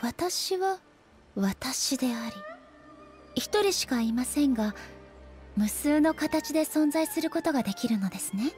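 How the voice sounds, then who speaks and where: A woman voicing a dignified, introspective female deity ruler in a game, speaking in a calm, formal and measured way. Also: sits high, faint music behind. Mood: solemn, reflective. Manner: formal, measured, polite speech. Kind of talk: Japanese game dub acting.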